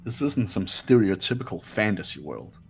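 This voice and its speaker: A man voicing an arrogant, coldly aloof young man in a fan game dub, dismissive and deliberate. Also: fairly low pitch, big pitch swings, faint music behind. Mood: cold, contemptuous. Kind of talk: fan-dubbed visual novel acting.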